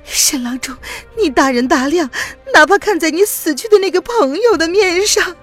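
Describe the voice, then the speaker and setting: A woman narrator voicing a desperate young woman who pleads in a high, tearful voice. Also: takes it slowly, soft background music. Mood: pleading.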